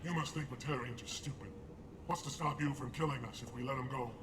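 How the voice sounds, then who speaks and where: A man's voice: medium pitch, fairly even intonation, fast, noisy background, close to an everyday voice. A man voicing a menacing, suspicious alien thug in a video game, speaking in a threatening sneer. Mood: wary, scornful. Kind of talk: video game villain acting. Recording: loud music over the voice.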